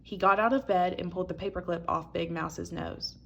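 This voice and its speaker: A woman reading a children's picture book aloud as an expressive storyteller. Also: fairly low pitch, a little fast, vocal fry, husky.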